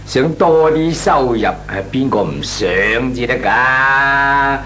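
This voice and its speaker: A man's voice: medium pitch, slow pace, bright, forceful tone. An actor playing a talkative, cautious small businessman in a Cantonese comic radio drama, hemming and chuckling as he talks. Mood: protesting. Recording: clearly noisy, humming radio recording.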